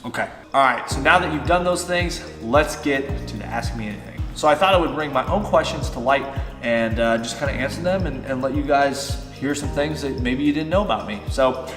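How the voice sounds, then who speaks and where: A man's voice: mid-range voice, a little fast, echoey room, big-room sound, music in the background. A man in his thirties, a fitness vlogger, answering viewer-style questions in a loose, chatty way with many fillers. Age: 30s. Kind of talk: casual Q and A vlog. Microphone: on-camera shotgun mic.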